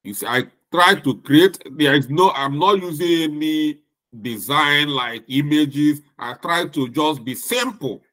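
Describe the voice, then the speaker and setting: A man with a Nigerian accent delivers a business lesson at an unhurried, measured pace. His voice sits fairly high for a man, and he stresses points by repeating them plainly. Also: bright, forceful tone. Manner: slow, deliberate, with emphatic repetition. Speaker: lecturer. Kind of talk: recorded lecture.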